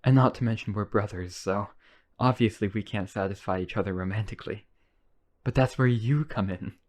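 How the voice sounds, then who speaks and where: Casting: male actor as devoted yandere vampire, low register, earnest coaxing delivery, intimate roleplay audio. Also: normal speed, a little mellow, lightly acted. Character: lonely, obsessive vampire brother, pleading devotion. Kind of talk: boyfriend ASMR roleplay audio drama.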